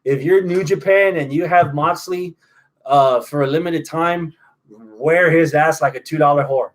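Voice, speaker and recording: A man's voice: fairly high pitch, a little slow. A man with an American accent hosting a wrestling podcast, giving opinionated, profane analysis in a casual chat.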